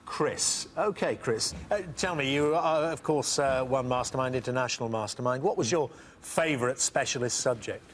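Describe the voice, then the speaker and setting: A man hosting a studio quiz show, welcoming players, explaining rules and reading out questions in a brisk, upbeat way. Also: mid-range voice, a little hiss or hum.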